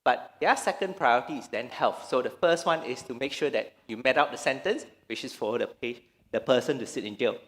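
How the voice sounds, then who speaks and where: A man from Malaysia gives a talk on stage at a medical conference. His voice sits at a middle pitch and trails with a long echo through a large hall. He speaks haltingly, often restarting his sentences. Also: fairly bright tone. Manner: hesitant, many restarts and fillers. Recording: reverberant lecture hall.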